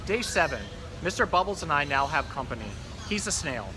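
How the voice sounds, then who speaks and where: A man's voice: on the high side, animated, brisk, background noise, lightly acted. A man voicing an exasperated, fussy pet goldfish in a children's storybook read-aloud, with dry, grumbling delivery. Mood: wary, disgusted.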